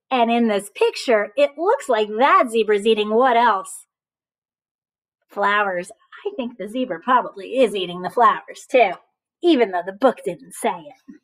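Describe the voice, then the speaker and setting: A woman reading a picture book aloud as a teacher of young children, animated and encouraging, often asking questions and pausing for answers. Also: on the high side, rough recording.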